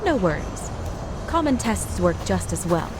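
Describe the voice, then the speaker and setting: An actress playing a polite clinical guide in a video game, giving formal, measured, soothing instructions. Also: female voice, on the low side, very animated, over music. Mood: calm, clinical. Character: polite clinical female guide running a memory synchronization test. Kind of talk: scripted game dialogue, procedural instructions. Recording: clear vehicle and ambient noise behind.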